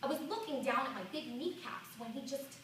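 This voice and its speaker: A woman performing a theatrical monologue as a reticent, exasperated seventy-two-year-old woman, halting and colloquial as she reminisces. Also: high-pitched, animated, a bit bright, pitched above the performer's own voice. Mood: grudging, wistful. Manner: halting, dramatic, colloquial, self-interrupting. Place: small indoor theatre stage. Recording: echoey theatre, far from the mic, faint noise.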